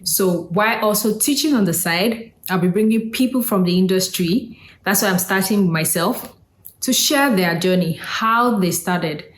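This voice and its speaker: A woman's voice: mid-range voice, normal speed, echoey room. A woman from Nigeria, hosting a casual solo talk in a conversational way, with fillers and asides. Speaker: host.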